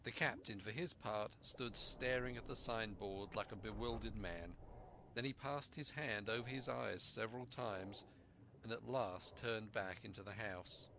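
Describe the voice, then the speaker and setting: A man reading a story aloud as an expressive narrator. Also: lowish voice, a little slow, slight background noise. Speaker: storyteller, audiobook reader.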